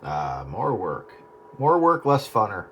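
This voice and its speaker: A man's voice: fairly low pitch, wildly swooping pitch, takes it slowly, muffled recording. A man chatting casually as an amateur radio operator over the air. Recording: radio transmission, heavy static and clicks.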